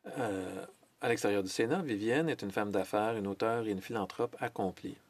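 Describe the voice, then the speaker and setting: A man giving a simultaneous interpretation of a formal parliamentary speech, measured, with hesitations and self-corrections. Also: on the low side, slightly rough, no room sound. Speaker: French interpreter of a Senate speech.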